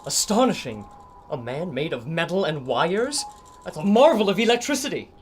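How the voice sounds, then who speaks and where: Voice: mid-range voice, sing-song, normal speed, slight background noise, close to an everyday voice. An actor playing an awestruck, courteous doctor of science with a formal, theatrical manner in a radio adventure. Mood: astonished. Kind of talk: old-time radio adventure acting.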